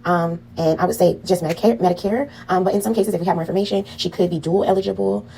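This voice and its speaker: A woman's voice: lowish voice, steady tone, quick pace, gravelly, mellow, slight background noise, poor audio. A woman teaching a casual online class on medical billing, full of "um"s and "okay?" checks to her students. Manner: chatty, frequent "um" and "okay?" check-ins.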